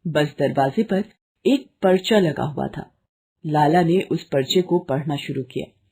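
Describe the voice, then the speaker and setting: A woman's voice: deep voice, measured pace, a little mellow, husky. A woman storyteller giving scripted narration for a children's moral tale.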